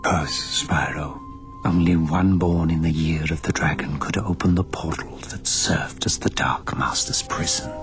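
An actor playing a wise old male dragon mentor, solemn and regretful, telling his tale in measured phrases for a video game. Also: a bass voice, over music. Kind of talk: dramatic video game cutscene narration.

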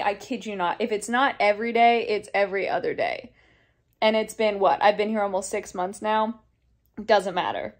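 Earnest rambling young woman vlogging. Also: mid-range voice. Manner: rambling, earnest, many restarts and fillers. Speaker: daily vlogger. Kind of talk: casual chat to camera. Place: living room at home, indoors. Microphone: phone camera held close.

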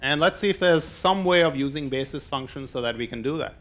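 A man's voice: fairly high pitch, fairly quick, a bit bright. An older man giving an informal explanatory lecture, talking conversationally and laying things out step by step. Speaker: mathematician and novelist giving a public lecture. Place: indoor lecture hall, on stage.

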